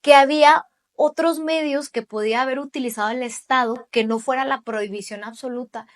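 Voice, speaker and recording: Woman, late 30s, Mexican accent, slightly high voice, emphatic explainer in an interview. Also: fairly even intonation, a little fast. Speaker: interviewee, judicial candidate.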